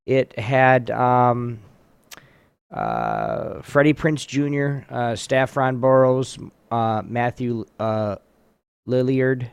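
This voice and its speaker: A man's voice: medium pitch, lively intonation, drawn-out words, gravelly, clear, resonant tone, no echo. A man casually hosting a trivia game on a live show, speaking informally and hesitantly as he chats and reads out facts. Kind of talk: casual chat, reading trivia aloud.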